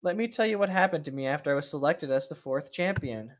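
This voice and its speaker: A man's voice: a little high, expressive, fast, highs cut off, a little hiss or hum. A man co-hosting a casual fan fiction read-aloud, slipping between mock dramatic reading and sarcastic, slangy asides.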